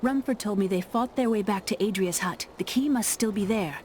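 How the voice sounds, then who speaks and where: A woman's voice: medium pitch, a little fast, a little mellow, a little hiss or hum, lightly acted. An actress playing a determined young woman of a besieged town in a fantasy video game, earnest and plain-spoken. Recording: game music behind.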